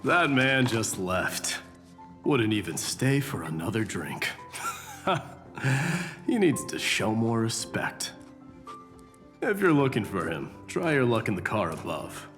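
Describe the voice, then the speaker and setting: A man's voice: fairly low pitch, sing-song, slow, fairly bright tone, big-room sound, faint music behind, heavily acted. A man playing a blunt, confident warrior crown prince in a video game, speaking with formal dignity. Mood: gruffly amused.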